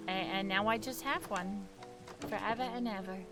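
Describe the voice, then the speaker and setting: A woman's voice: mid-range voice, normal speed, music in the background. A young woman with a New York accent, chatting casually with her live-stream audience while gaming, talkative and laughing often.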